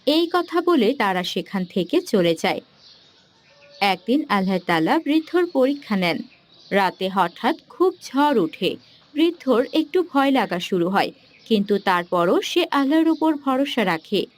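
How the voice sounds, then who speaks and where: A woman's voice: fairly high pitch, very animated, measured pace. A woman reading scripted narration for a cartoon moral story.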